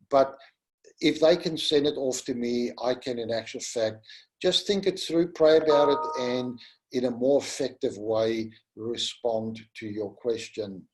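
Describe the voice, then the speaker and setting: A middle-aged man with a South African accent, first language Afrikaans, giving a gentle, pastoral webinar talk. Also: medium pitch, rough recording. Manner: gentle and pastoral, addresses listeners as "my friend". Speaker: webinar presenter. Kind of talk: slow, earnest teaching talk.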